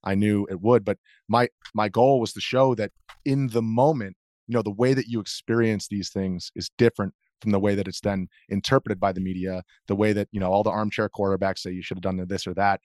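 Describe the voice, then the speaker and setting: A man's voice: fairly low pitch, fairly even intonation, a little fast, darkish tone, no room sound, clean studio sound. A man with an American accent answering interview questions conversationally, explaining himself earnestly with hesitant phrasing. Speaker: interview guest, journalist.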